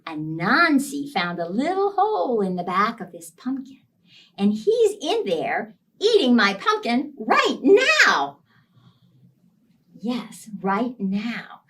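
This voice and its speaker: A wronged but calm storybook monkey plotting his trick, read by a woman. He speaks in a confiding, deliberate way with animated pitch, a little off the mic. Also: distant mic, full character voice. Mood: sly, confiding.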